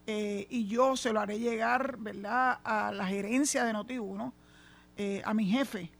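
A woman's voice: medium pitch, fairly even intonation, measured pace, gravelly. A middle-aged woman with a Puerto Rican accent hosting a radio talk show, outspoken and emphatic. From Puerto Rico. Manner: outspoken, emphatic, laughs easily. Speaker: radio host. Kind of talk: casual on-air radio chat. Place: radio studio.

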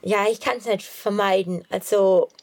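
A woman's voice: mid-range voice, fairly even intonation, measured pace, darkish tone. A woman with a Swabian accent, a guest athlete giving short, easygoing answers in a casual interview. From Swabia, Germany.

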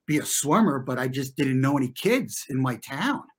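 A middle-aged American man speaks as an interview guest in a medium-pitched voice. He tells his story conversationally and reflectively. His phrasing is informal and halting, and he often circles back to reword a thought. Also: animated. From US, New York and New Jersey. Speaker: interview guest, former competitive swimmer.

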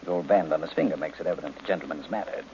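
A man's voice: extremely low pitch, rapid-fire, darkish tone. A man playing a pompous, cranky older detective in a 1940s radio mystery, speaking formally with precise diction. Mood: confident, lecturing. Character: pompous, cranky older male master detective, supremely self-assured. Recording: muffled, noisy old radio recording.

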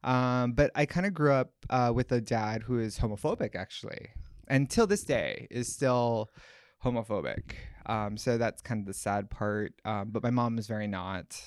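A man's voice: medium pitch, expressive, moderate pace, smooth, no room sound, studio quality. A man from Hawaii, a podcast guest telling his life story in a candid, reflective way. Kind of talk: personal storytelling interview.

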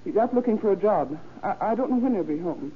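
An actress playing a faded, anxious little housewife in an old radio crime drama, hesitant, flustered and often stammering. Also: female voice, medium pitch, lively intonation, fast talker, lightly acted. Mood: ashamed, flustered. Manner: hesitant, stammering, repeats words. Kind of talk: 1930s-40s radio crime drama acting. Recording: old tinny radio recording with noise.

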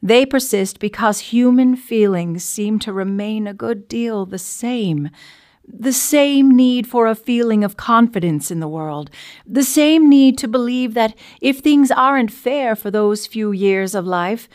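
A woman's voice: a little high, a little slow, a little mellow, natural voice. A woman playing a thoughtful American letter writer of the early twentieth century in a dramatic reading. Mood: reflective.

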